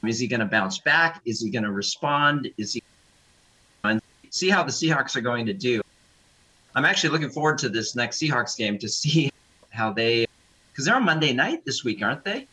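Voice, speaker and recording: A man's voice: mid-range voice, animated, moderate pace, sharp tone, husky, poor audio. A man who is a sports chaplain and coach, giving reflective, moralizing commentary on a casual talk panel.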